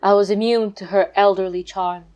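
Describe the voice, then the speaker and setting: A woman's voice: mid-range voice, steady tone, normal speed, darkish tone, close to an everyday voice. A woman with an English accent playing a cheeky, cocky eleven-year-old boy detective in a student radio play.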